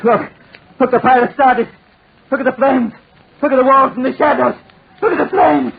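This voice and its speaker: A man's voice: very high-pitched, steady tone, normal speed, vocal fry, husky, full character voice. An actor playing a deranged, desperate man in 1940s radio style, repeating his phrases feverishly and obsessively. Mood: frantic. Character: deranged desperate man set on burning his tormentor to death. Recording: scratchy, tinny 1940s radio recording.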